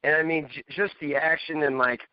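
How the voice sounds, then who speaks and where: A man's voice: fairly high pitch, fairly even intonation, a little fast, smooth, dry recording. A man co-hosting a podcast, chatting casually and enthusiastically. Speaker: podcast co-host. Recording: slightly dull, dry radio-like sound.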